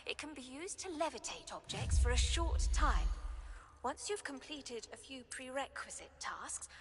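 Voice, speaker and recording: A woman's voice: sits high, big pitch swings, measured pace, exaggerated voice. An actress playing a courteous female teacher of magic in a video game, polite, formal and instructive. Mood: inviting. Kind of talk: scripted video game voice-over. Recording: reverberant, faint background noise.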